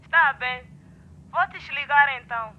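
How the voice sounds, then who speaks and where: A woman's voice: high voice, moderate pace. A woman playing a middle-aged Mozambican mother in a radio drama, fussing affectionately over her grown son through a telephone filter. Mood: tender. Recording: muffled phone call with faint noise.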